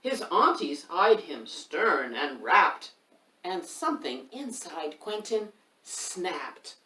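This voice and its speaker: Expressive woman reading a storybook aloud. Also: on the low side, slow pace. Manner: lively, sing-song rhyming delivery with asides to the listener. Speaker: storyteller reading a picture book. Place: home room. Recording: roomy echo, mic at a distance.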